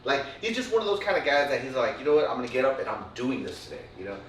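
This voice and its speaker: A man tells a winding story in loose, casual chat, stumbling through fillers and tossing in swear words. His voice sits at an ordinary pitch. He is heard a little way off the mic in an echoing room, with a faint hum behind him. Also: very animated, racing, fairly bright tone. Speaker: podcast co-host. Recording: roomy, distant mic, faint room noise.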